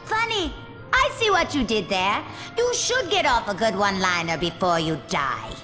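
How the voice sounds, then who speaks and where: A woman playing a criminally insane, gleefully menacing villainess in a video game cutscene, with a slow, theatrical, taunting delivery. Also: mid-range voice, big pitch swings, bright, forceful tone. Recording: long reverberant echo, faint music.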